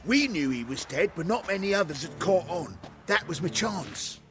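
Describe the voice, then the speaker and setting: An actor playing a brash, excitable shapeshifter who poses as a crime boss in a fantasy game. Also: male voice, high-pitched, normal speed, soft background music. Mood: relieved, explaining. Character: shapeshifter posing as foul-mouthed crime boss. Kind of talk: video game dialogue.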